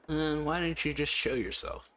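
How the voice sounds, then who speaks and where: A man's voice: a little high, normal speed, fairly bright tone, slight background noise. A man giving casual let's play commentary in brief, offhand interjections. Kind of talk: casual reactive game commentary. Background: game music.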